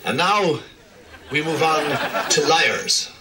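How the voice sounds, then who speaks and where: A self-satisfied priest, pompous and eager to settle old scores. His voice sits high and swings widely, with hiss and noise behind it from a TV clip. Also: animated, slow pace, crowd noise. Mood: gloating. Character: vain middle-aged Irish priest, scheming and self-pleased. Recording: sitcom audio with noisy background.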